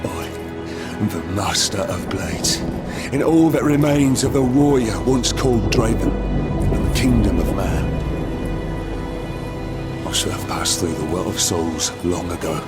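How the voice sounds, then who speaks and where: An actor playing a bitter, proud undead master swordsman in a video game, speaking with measured, brooding weight over music. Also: fairly low pitch, lively intonation. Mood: proud, grim. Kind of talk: dramatic video game voice acting. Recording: loud music under the voice.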